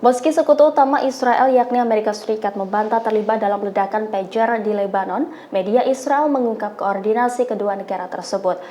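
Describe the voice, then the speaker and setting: A woman's voice: medium pitch, a little fast, hardly any pauses, mellow, slight background noise. A woman reads scripted news narration as a voice-over.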